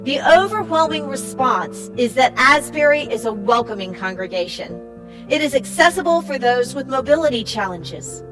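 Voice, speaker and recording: A woman reading a scripted church summary in a measured way, as a narrator. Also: high-pitched, lively intonation. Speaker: narrator, church project team member. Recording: music playing behind the voice. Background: music.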